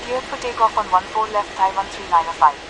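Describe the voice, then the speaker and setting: A woman's voice: mid-range voice, brisk, noisy background, close to an everyday voice. A woman playing a procedural pilot or controller in a flight game, with clipped aviation radio phraseology. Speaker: pilot and air traffic radio voice in a simulation game.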